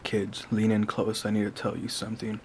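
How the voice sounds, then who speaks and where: A man's voice: lowish voice, completely flat delivery, brisk, a little mellow, slight background noise, lightly acted. A man voicing a protective mother giving her children urgent instructions.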